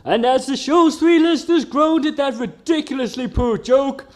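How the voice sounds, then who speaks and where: A man's voice: very high voice, normal speed. A man delivering scripted comic narration in a mock-serious storytelling manner. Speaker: narrator of a comedy show.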